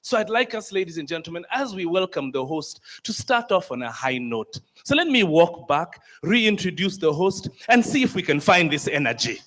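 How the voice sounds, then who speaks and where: A man with a Ugandan accent, emceeing a conference from a stage mic in an energetic, crowd-rousing way. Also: high voice, normal speed, hardly any pauses. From northern Uganda. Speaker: conference master of ceremonies. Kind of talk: lively emcee hosting a conference. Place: large conference hall.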